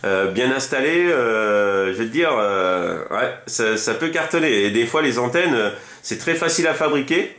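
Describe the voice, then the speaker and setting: A man's voice: medium pitch, animated, moderate pace, hardly any pauses, fairly bright tone, reverberant. A man chatting casually as a radio hobbyist, rambling and enthusiastic, often repeating himself. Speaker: amateur CB radio operator.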